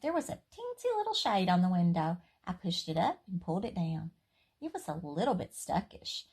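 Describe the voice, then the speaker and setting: A woman reading a children's story aloud in an expressive, playful, emphatic way. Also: on the low side, moderate pace, husky.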